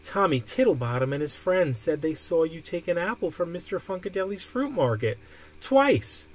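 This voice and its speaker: A man's voice: on the high side, big pitch swings, normal speed. A man voicing an earnest, plain-spoken young boy in a children's storybook. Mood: troubled.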